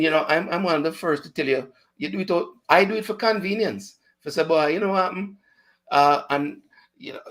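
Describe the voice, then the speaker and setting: A man's voice: on the high side, fairly bright tone. Chatty Jamaican man hosting a show. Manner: chatty, mixes patois into speech, laughs often. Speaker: radio show host. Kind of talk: casual chat.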